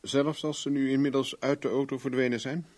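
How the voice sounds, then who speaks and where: Radio play role: male actor as probing private investigator, courteous, dry, sceptical, average male pitch. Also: flat delivery, fairly quick, darkish tone, close to an everyday voice. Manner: dry, questioning, polite.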